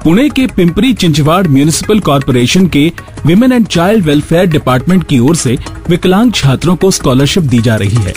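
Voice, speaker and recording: A man's voice: fairly high pitch, lively intonation, moderate pace, darkish tone. A man reading scripted radio announcements and news as a presenter. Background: music.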